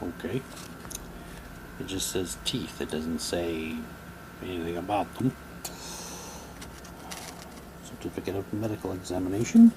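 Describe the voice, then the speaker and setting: A man's voice: low voice, a little slow, pauses often. Casual man musing over noisy background. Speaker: reviewer showing mail-order radio drama props. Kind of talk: casual unboxing commentary, reading documents aloud. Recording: clear background noise, room ambience.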